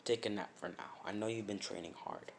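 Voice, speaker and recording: A man's voice: on the low side, fairly quick, vocal fry, slight background noise. A man voicing an old dragonborn martial arts master in an amateur story, blunt, grave and solemn. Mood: grave, matter-of-fact.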